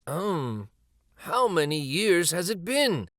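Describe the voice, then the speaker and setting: Man playing gentle, slow-talking old storybook grandfather. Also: a little high, sing-song, dry recording, studio quality, full character voice. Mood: musing. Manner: hesitant, musing, with little ums. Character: gentle, nostalgic old Japanese grandfather, retired street storyteller.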